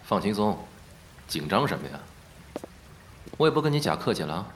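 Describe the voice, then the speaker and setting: A man's voice: lowish voice, very animated, brisk, echoey room, slight background noise. A man playing a confident, teasing male film star in an audio drama, with a self-assured manner. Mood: teasing, smooth. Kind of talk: acted dramatic dialogue.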